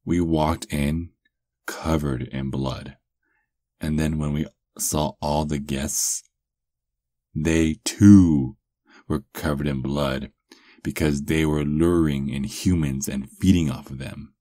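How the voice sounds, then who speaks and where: A man's voice: very deep voice, steady tone, no room sound. A man playing a doting, teasing vampire lover in an intimate, murmured ASMR roleplay, close to the microphone. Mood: pleased storytelling. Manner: slow, intimate murmuring with soft chuckles. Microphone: close microphone, dry.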